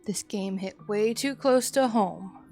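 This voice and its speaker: A woman's voice: mid-range voice, slow, a little mellow, soft background music. A woman giving casual, emotive live commentary while streaming games, with sighs and folksy mild exclamations.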